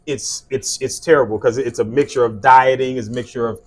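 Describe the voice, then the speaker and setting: A man's voice: mid-range voice, fairly even intonation, brisk, slight background noise. A man in his thirties, originally from South Sudan and Liberia, telling his story as an interview guest in an earnest way with many fillers. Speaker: guest, skincare business owner. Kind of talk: casual interview conversation.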